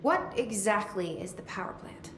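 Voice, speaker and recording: An actress playing a composed, businesslike new director in a video game, speaking curtly and probingly. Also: female voice, mid-range voice, animated, normal speed, a bit bright, roomy sound, a little hiss or hum, close to an everyday voice. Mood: probing, guarded. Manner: curt, probing questions.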